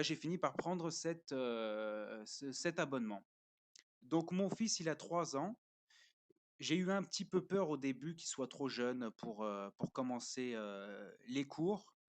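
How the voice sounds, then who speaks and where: A man of middling pitch talks through a review in a loose, unscripted way. He often stops on fillers and walks the listener through each step as he goes, like someone showing things on a screen. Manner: hesitant, pauses often with fillers. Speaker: parent reviewing a learning platform. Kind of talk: casual spoken review.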